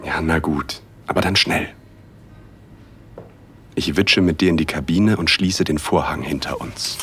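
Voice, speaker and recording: A man narrating a first-person erotic audio drama in a hushed, intimate, unhurried way, with a husky voice. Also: a bass voice, background noise. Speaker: narrator and male lead.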